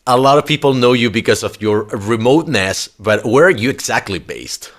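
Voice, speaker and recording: A male podcast host talking in a loose, chatty way. He is blunt, pauses on fillers and restarts his sentences, and his voice sits at an ordinary middle pitch. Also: lively intonation. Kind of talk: casual podcast interview.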